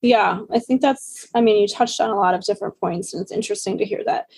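A woman's voice: medium pitch, fast talker, creaky, a little mellow, breathy edge, no echo. A woman hosting a podcast, chatting conversationally with a guest. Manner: conversational, lots of "like" and "I mean". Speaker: podcast host. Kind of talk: casual interview chat.

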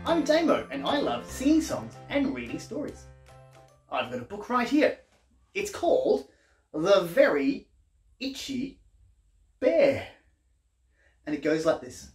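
A man's voice: high voice, wildly swooping pitch, slow, long pauses, roomy sound, soft background music. A man in his thirties reading a picture book aloud to young children in a playful, exaggerated storyteller way. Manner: playful, exaggerated storyteller delivery with questions to the listener. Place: small living room, indoors.

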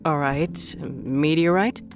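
A woman's voice: sits low, takes it slowly, over music. A woman acting in an audio drama as a sceptical, practical worker with a casual, clipped way of talking. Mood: brusque, distracted.

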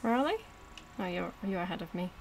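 A woman's voice: lowish voice, lively intonation, brisk, creaky, slight background noise. A woman giving casual, informal let's-play commentary, muttering to herself and to the game as she plays. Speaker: gaming video host.

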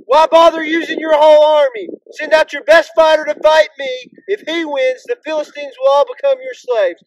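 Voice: very high voice, fairly even intonation, no echo, rough recording. An actor playing a boastful, taunting giant warrior who bellows challenges in an amateur Bible story. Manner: loud, taunting, drawn-out delivery.

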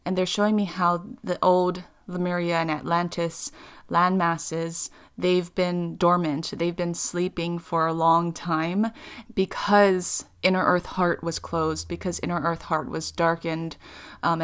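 A woman from Hawaii giving a channeled spiritual talk as a voice-over, halting often with fillers and restarts. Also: on the low side, flat delivery, clean tone.

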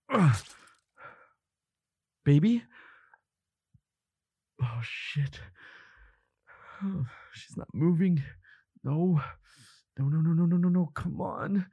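A devoted, fiercely protective young lover, acted by a man in a dramatic audio roleplay. He speaks slowly and emotively, in a husky voice that moves widely in pitch. His delivery halts and breaks with feeling. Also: dark tone, no room sound, full character voice. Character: devoted boyfriend of a vampire, protective, frantic, defiant.

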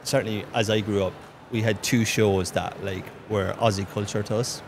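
A man's voice: fairly low pitch, steady tone, moderate pace, creaky, a little hiss or hum. A man in his thirties with an Irish accent, a performance coach chatting casually and teasing his driver with dry humour on a podcast. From Ireland. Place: glass-walled room by a busy street. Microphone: desk mic with headphones.

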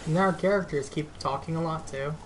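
A woman's voice: sits low, fairly quick. A woman chatting casually as she plays a co-op video game on stream. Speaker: gaming streamer. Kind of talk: casual co-op gameplay chat. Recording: clear game noise behind voice. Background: game combat sounds and ambience.

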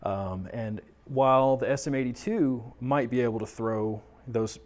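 A man's voice: medium pitch, unhurried, darkish tone. American guy in his 30s, talking you through products casually, asks stuff then answers it. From Texas, United States. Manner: conversational, explanatory, poses questions and answers them. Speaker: tech talk presenter explaining audio products. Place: small indoor studio office, seated or standing at a table.

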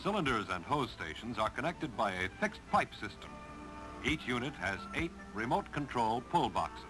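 An American man reading scripted 1950s instructional film narration in a clear, measured delivery. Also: mid-range voice, sing-song, lightly acted. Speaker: narrator of a railroad training film. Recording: 1950s film soundtrack with music behind.

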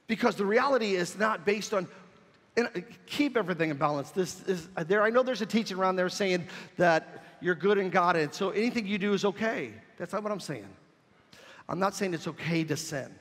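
Male pastor, high voice, emphatic sermon delivery, shouting at the peaks, long church reverb. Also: lively intonation, a little fast. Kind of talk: sermon with call-and-response. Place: church sanctuary.

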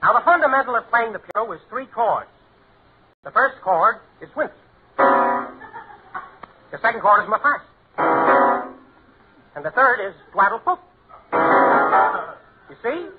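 High-pitched double-talking piano professor. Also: male voice, very animated, unhurried, pauses often, exaggerated voice. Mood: confident, instructive. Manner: rattling off confident garbled jargon. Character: fussy male piano professor spouting nonsense double-talk. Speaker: comic actor. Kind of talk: 1940s radio comedy acting. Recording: noisy, narrow-band 1940s radio recording.

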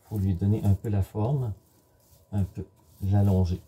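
A middle-aged man giving a step-by-step cooking demonstration in a home kitchen, explaining in short, halting instructional phrases. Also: on the low side, fairly even intonation, warm tone, slightly rough. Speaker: home baker presenting a recipe.

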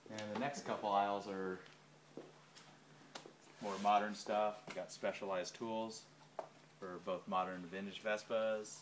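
A man's voice: on the low side, little pitch movement, measured pace, long pauses. A man in his thirties walks through a large indoor parts warehouse, filming on a handheld phone and listing items as he goes. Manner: lists items while walking, filming handheld. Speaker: shop tour guide. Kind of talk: casual walking shop tour. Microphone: handheld phone camera. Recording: echoey warehouse, faint hum.

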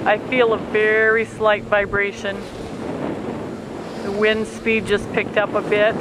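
A woman's voice: mid-range voice, level tone, slow pace. A woman narrating casually with a handheld camera outdoors at a wind turbine, talking plainly with small hesitations. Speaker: amateur videographer. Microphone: handheld camera mic. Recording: wind-buffeted outdoor camera audio with loud engine noise. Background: diesel truck idling and wind.

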